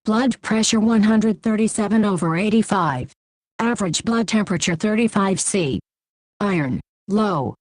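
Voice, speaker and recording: A woman voicing a clinical AI implant that reports data in a flat, factual, clipped manner for an audio story. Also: mid-range voice, moderate pace, dry recording, lightly acted, low-quality recording. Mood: impassive. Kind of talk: scripted audio story character.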